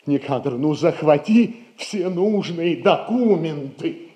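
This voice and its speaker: A foolish, self-important merchant in a radio stage comedy. His voice is mellow and rather high for a man, with affected gentility. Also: expressive, takes it slowly, breathy edge, dull audio, full character voice. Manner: pompous, affectedly genteel. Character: pompous, foolish middle-aged merchant son, scheming for money.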